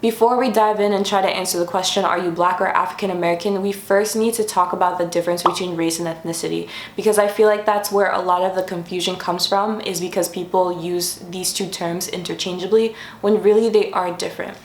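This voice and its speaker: A woman's voice: fairly low pitch, fairly even intonation, brisk, hardly any pauses, reverberant, slight background noise. A young woman hosting a casual explainer vlog from her room, friendly and chatty, sometimes stopping to correct herself. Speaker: YouTube host. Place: her room, sitting on the floor.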